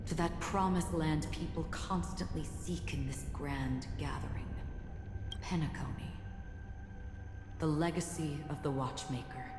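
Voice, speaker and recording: An actress playing an enigmatic, composed swordswoman in a video game, speaking in a measured, formal, solemn way. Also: low voice. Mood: grave. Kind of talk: dramatic video game voice acting. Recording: echoey hall-like reverb under music.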